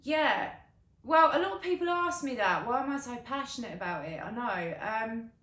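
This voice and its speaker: A woman's voice: fairly high pitch, expressive, normal speed, fairly bright tone. Woman acting a chatty young musician, echoey room. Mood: earnest, passionate. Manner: chatty, slangy, earnest. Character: upbeat, zealous young British musician obsessed with perfect teeth. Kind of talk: monologue performed as a casual interview. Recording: roomy echo, background noise.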